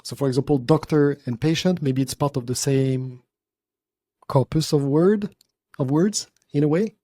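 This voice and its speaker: Man, podcast host, mellow dark tone, dry close sound, thoughtful. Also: a little high, moderate pace, no echo. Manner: thoughtful, searching for words. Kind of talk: casual podcast conversation.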